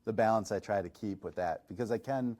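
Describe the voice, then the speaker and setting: A middle-aged man, a chiropractor, answering interview questions in a relaxed, self-deprecating, chatty way. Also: fairly low pitch, quick pace. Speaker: chiropractor and massage chair reviewer. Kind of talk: casual podcast interview answers.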